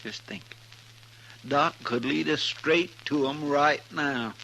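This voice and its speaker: An actor playing an earnest, loyal, plain-spoken marshal's sidekick in a 1950s radio western, with a rural American accent. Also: male voice, fairly high pitch, slow pace, vocal fry, bright, forceful tone, full character voice. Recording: noisy old radio recording.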